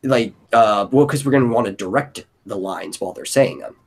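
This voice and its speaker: A man with a lowish, slightly rough voice talks through ideas in a casual brainstorm. He rambles as he thinks aloud, sounding like a co-director planning with collaborators. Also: a little fast. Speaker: co-director planning an audio drama.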